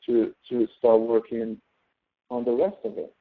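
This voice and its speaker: A man's voice: medium pitch, steady tone, measured pace. A man teaching as a professor in an informal tutoring walkthrough, explaining step by step and often checking in with "okay?". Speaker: professor teaching an online class. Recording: thin phone-like audio.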